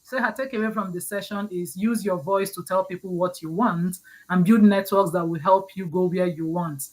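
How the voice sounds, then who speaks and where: A woman's voice: on the low side, steady tone, normal speed, a little mellow, rough recording. A woman from Nigeria, hosting a livestream interview over a video call and reading out audience comments. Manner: encouraging, repeats words while gathering thoughts. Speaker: host.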